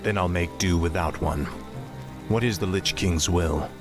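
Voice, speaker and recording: An actor playing a cold, remorseless young prince turned death knight in a fantasy game, grim and imperious. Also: male voice, low voice, measured pace. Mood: grim, bitter. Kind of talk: fantasy video game acting. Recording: music under the voice.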